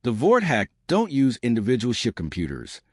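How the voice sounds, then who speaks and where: A man's voice: mid-range voice, huge pitch swings, normal speed, slightly rough, dry recording, clean studio sound. A man voicing a small, fierce woman starship captain in a sci-fi story, confident and sarcastic. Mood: cocky.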